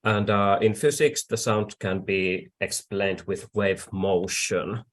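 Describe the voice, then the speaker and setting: A man with a Finnish accent explaining things deliberately and haltingly, like an online lecturer. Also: on the low side, fairly even intonation. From Finland. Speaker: accordion artisan, presenter.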